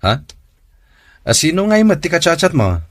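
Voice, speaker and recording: A man's voice: fairly high pitch, very animated, normal speed, no echo. A man acting a nosy, encouraging buddy in a radio drama. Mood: teasing, curious.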